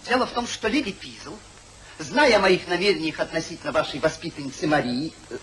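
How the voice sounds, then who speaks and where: A hypocritical gentleman schemer in a vintage radio comedy. His fairly high voice rises and falls freely as he hedges with theatrical politeness. The old recording has a faint hiss. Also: lively intonation, a little fast, poor audio. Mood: anxious, placating. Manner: over-polite, hedging, theatrical. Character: smooth-tongued hypocritical gentleman schemer, evasive. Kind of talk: 1950s radio stage-comedy acting. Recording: hissy 1950s radio play recording.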